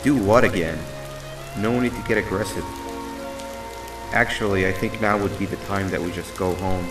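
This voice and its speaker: A sardonic red devil mascot from a video game, played by a man, low in pitch and wry. He sounds world-weary but loyal, echoing and set back from the mic with music behind. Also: steady tone, normal speed, natural voice. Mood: weary, complaining. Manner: wry, conversational, exclamatory when pressed. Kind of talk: video game character acting. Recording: echoey, distant, music behind.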